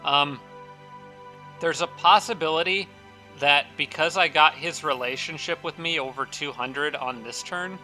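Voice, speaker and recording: A man talks through his strategy game, weighing moves out loud and stumbling over restarts and fillers. His tone is very bright and edged, slightly raspy from a dry throat. Faint music plays softly under his voice. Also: fairly high pitch, measured pace. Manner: thinking aloud with frequent fillers and restarts. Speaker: strategy game let's-play host. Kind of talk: casual gameplay commentary.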